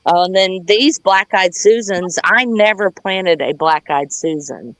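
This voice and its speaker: A woman's voice: medium pitch, measured pace, no room sound. Folksy Southern US woman, rambling casually. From United States. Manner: folksy, plain-spoken. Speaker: gardener showing their garden on a livestream.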